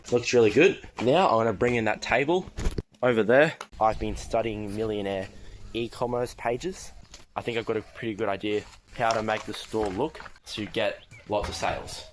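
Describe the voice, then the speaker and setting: Low-voiced teenage boy vlogging casually. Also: a little hiss or hum. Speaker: vlogger starting an online business. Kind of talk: casual vlog talk, narrating own progress.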